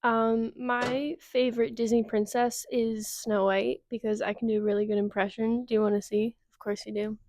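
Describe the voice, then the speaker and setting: A woman's voice: a little high, little pitch movement, normal speed, few pauses, darkish tone, no room sound. A nineteen-year-old woman answering interview questions in a playful, self-assured way. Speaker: contestant.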